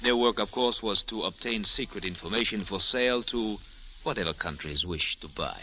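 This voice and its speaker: An actor playing a suave, smug foreign spy with deliberate, menacing politeness in an old-time radio drama. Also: male voice, lowish voice, sharp tone. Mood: smooth, smug. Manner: suave, deliberate, with amused chuckles. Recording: noisy, hissy old radio recording.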